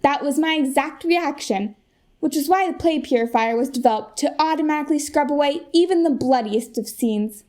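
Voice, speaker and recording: An earnest corporate presenter, played by a young American woman with a high voice. She sells emphatically and smoothly, as in a mock keynote for a radio comedy. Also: normal speed, darkish tone, natural voice. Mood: earnest, persuasive. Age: young adult. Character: earnest, polished corporate saleswoman Shelley pitching a product.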